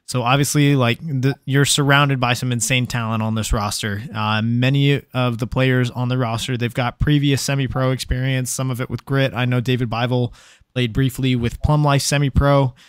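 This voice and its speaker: A man hosting a podcast, reading out listener questions in a relaxed, chatty way. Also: mid-range voice, moderate pace, clear, resonant tone, dry recording. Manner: relaxed, reads out listener questions, many fillers. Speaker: podcast host. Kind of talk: casual interview chat.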